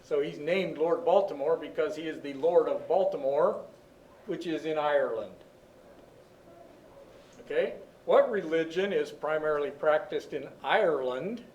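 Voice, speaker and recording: A man's voice: on the high side, unhurried, darkish tone, dull audio, slight background noise. Man teaching from across an echoey room. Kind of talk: classroom lecture. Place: classroom. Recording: echoey classroom, far from the mic.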